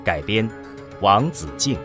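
A man's voice: lowish voice, expressive, measured pace. A man giving dramatic scripted narration for a science fiction radio drama. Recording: music under the voice.

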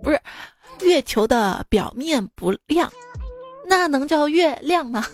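Casting: young female comedy host, higher-pitched, teasing and theatrical, chatting with music underneath. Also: animated, unhurried. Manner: playful, teasing, theatrical delivery. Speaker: host of a comedy joke show. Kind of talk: chatty humorous monologue over music. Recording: music bed behind the voice. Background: background music.

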